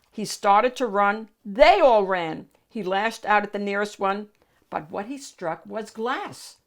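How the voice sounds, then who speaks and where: A woman reading a picture book aloud expressively, as the host of a storytime. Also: mid-range voice. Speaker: storybook reader and channel host.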